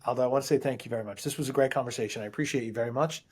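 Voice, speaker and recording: A man's voice: medium pitch, rapid-fire, a little mellow, breathy edge. A man hosting a podcast interview, casual and affirming with frequent short agreements. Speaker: podcast host.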